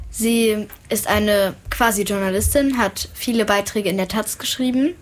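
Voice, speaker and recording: A woman's voice: medium pitch, steady tone, unhurried, poor audio. A woman interviewer speaking informally and tentatively, sometimes searching for her words. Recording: faint background room noise. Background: faint ambient noise.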